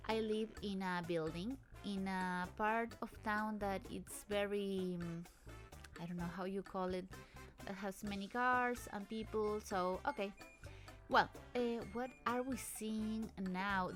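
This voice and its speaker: A woman with a foreign accent gives a casual voice-over as a channel host, hesitant and a little nervous, restarting her sentences as she goes. Her voice sits at an average pitch for a woman. Soft music plays faintly behind her in a home apartment. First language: not English. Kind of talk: casual voice-over commentary on video clips. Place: apartment in a busy town area with traffic outside.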